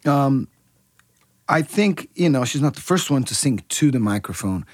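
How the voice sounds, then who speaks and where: A man's voice: mid-range voice, expressive, moderate pace, dry recording, clean studio sound. A man from Brazil, a musician, talking casually in an interview with many restarts and fillers. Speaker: musician guest.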